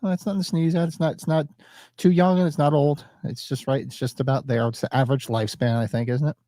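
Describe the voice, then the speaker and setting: A man's voice: fairly high pitch, level tone, fast, dark tone, dry recording, studio quality. A man co-hosting a casual horror podcast, sniffly from allergies, rambling with plenty of fillers. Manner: rambling, laid-back, lots of fillers. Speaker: podcast co-host.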